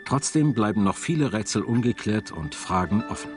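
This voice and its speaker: A man's voice: on the low side, lively intonation, a little fast, music in the background. A man reading scripted narration for a documentary.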